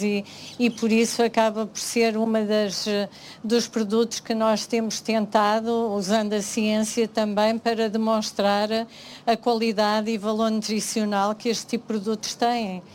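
A woman with a European Portuguese accent, speaking as a panelist on food science, halting often with restarts and "eh" fillers. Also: medium pitch, a little mellow, a little hiss or hum. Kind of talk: panel discussion.

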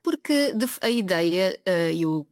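A woman's voice: on the low side, animated, measured pace, no echo. Woman, mid voice, unscripted expert answers full of hesitations, dry close sound. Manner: hesitant, many fillers and self-corrections. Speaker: guest expert, project coordinator. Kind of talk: spontaneous interview answers.

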